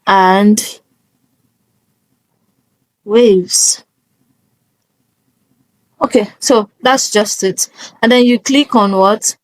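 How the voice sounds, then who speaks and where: A woman's voice: medium pitch, very slow, long pauses, no echo, rough recording. A woman presenting a casual step-by-step tutorial, instructive and often checking that the listener is following.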